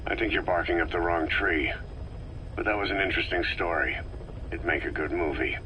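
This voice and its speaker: A man plays an unimpressed, gruff interrogator from a military game drama. The voice is low, curt and dryly skeptical. Clear tape noise runs through the recording. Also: brisk, lightly acted. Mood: dry, dismissive. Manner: dry, sardonic, curt. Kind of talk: video game voice acting. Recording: noisy cassette tape recording.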